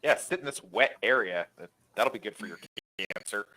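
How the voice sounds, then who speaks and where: A man co-hosting a rewatch show trades sarcastic, dark jokes in loose chat. His lowish voice sounds bright and moves around a lot, with faint music under it. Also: animated, moderate pace, slight background noise, rough recording. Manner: sarcastic, dark-humored, joking. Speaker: rewatch podcast co-host. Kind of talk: casual chat.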